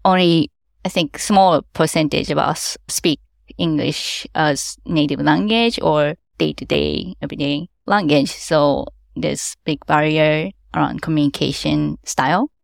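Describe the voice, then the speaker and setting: Low creaky woman, non-native English speaker. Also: measured pace, dry recording, clean studio sound. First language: Japanese. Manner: non-native English, earnest, laughs lightly while explaining. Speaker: event organizer. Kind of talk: interview answers.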